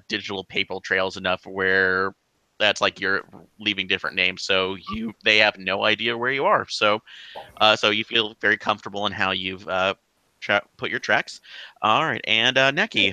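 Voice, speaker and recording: A man's voice: lowish voice, steady tone, moderate pace, sharp tone, no echo, low-quality recording. A man acting as game master for an online tabletop game, talking in a loose, rambling way full of fillers. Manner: rambling, filler-heavy, easygoing.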